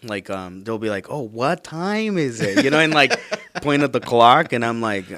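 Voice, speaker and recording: A man's voice: mid-range voice, expressive, moderate pace, fairly bright tone. A man whose first language is Spanish, a guest in a casual conversation, telling a personal story in an easygoing way and laughing often. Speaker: guest, theology student.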